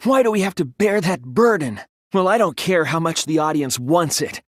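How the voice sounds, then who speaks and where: A man's voice: a little high, lively intonation, moderate pace, no echo. Earnest teen boy detective from a game, emotional and defiant, voice moves around a lot, super dry sound. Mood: grave resolve. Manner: emotional, emphatic, swinging from hushed to impassioned. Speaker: video game voice actor. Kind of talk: dramatic English game dub.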